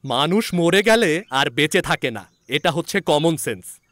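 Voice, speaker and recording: Man playing a brash, animated young sceptic doctor. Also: on the high side, unhurried, no room sound. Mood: dismissive. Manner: blunt, emphatic.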